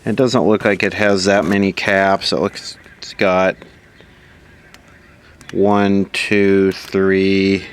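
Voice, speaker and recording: A man's voice: sits low, flat delivery, takes it slowly, clear, resonant tone. A man giving casual troubleshooting commentary as he repairs electronics, musing aloud and asking himself questions. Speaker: electronics repair hobbyist presenter.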